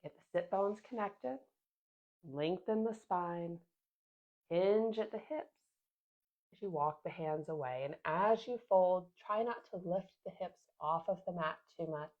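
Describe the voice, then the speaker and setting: A woman leads a slow yoga class in a gentle, calm voice with soft pauses, a bit sniffly from a sinus infection. Her voice sits fairly low, and the recording sounds slightly dull and muffled. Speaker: yoga instructor. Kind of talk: guided yoga class instruction.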